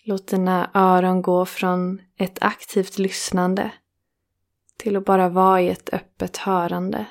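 Woman, meditation guide. Creaky, very even delivery, soothing and unhurried, with generous pauses. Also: fairly low pitch. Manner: slow, soothing, with long pauses between phrases. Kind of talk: guided relaxation meditation, yoga nidra.

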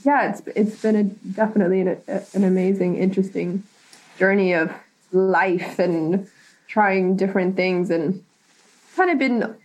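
A woman's voice: mid-range voice, unhurried, a little mellow, poor audio. Rambling, laugh-prone woman guest. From Thailand. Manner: rambling, laughs often, many fillers. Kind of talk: casual chat.

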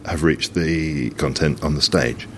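A man presenting an on-location interview, speaking in a measured, wryly playful way. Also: very deep voice, slight background noise. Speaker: radio presenter.

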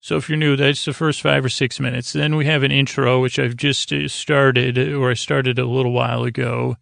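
A man with a gravelly, creaky voice rambling as host of a sleep podcast, halting and stumbling over words as the thought wanders. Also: medium pitch, little pitch movement, a bit bright, no room sound, studio quality.

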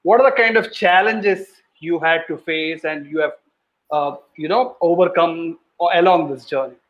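A man hosts an interview, putting his questions in a friendly way with fillers. His voice sits a bit high for a man. The audio is dull and muffled. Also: moderate pace. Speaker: interview host.

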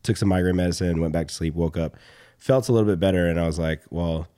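A young man with a slightly hoarse voice chatting casually as a podcast host, rambling and halting, with some casual swearing. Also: low-pitched, steady tone, mellow. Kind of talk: casual chat.

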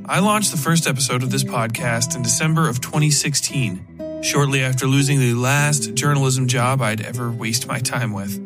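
A man's voice: medium pitch, moderate pace, over music. A man hosting a fiction podcast and narrating horror stories in a scripted storytelling style.

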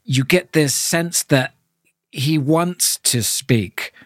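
A man's voice: on the high side, very slow, fairly bright tone, no room sound, clean studio sound. A man hosting a podcast, talking casually and analytically, thoughtful and often questioning, seeking agreement as he goes. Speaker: podcast host.

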